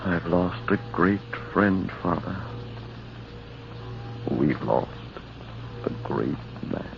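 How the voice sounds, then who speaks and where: Solemn loyal friend in old radio drama, super deep gravelly slow voice, noisy muffled tape. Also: male voice, lively intonation, full character voice. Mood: mournful. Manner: solemn, measured. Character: sorrowful loyal friend of a captain, recounting tragedy.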